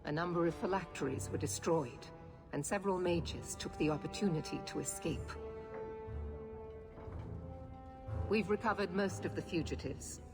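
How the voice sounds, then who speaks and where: An actress playing a stern, zealous knight commander in a video game, formal and imperious. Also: female voice, fairly low pitch, a little fast, close to an everyday voice. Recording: long hall reverb, music behind.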